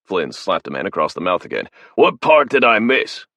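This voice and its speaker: A man playing a gruff swearing squad boss. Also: low-pitched, very animated, normal speed, bright, forceful tone, no room sound, full character voice. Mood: angry. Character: tough male soldier boss, gruff and profane.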